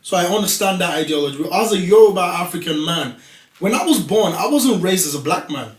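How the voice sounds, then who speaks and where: An assertive man holding his ground in a lively group discussion. His voice sits a little higher than most men's. He sounds set back from the mic in a roomy, echoey space. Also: brisk. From Nigeria. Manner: assertive, talks over others to make his point. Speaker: talk show guest. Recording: roomy studio, voice heard from a distance.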